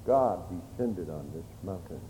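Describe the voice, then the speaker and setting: A man preaching a solemn, oratorical Bible sermon, telling the story emphatically in short, halting phrases. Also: low-pitched, expressive, warm tone, slight background noise. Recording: echoey hall with long reverb.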